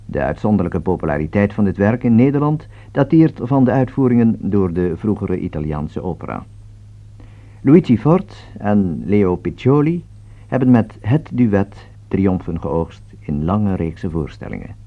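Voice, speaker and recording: Male radio announcer, Netherlands Dutch accent. Low register with animated intonation, formal delivery of scripted programme notes, old band-limited broadcast sound. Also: moderate pace, phone-quality sound.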